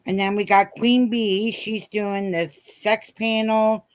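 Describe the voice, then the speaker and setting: A host runs through shout-outs to other live streamers in a casual way. The delivery is slow and unhurried, reading items off a list. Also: medium pitch, level tone, rough recording.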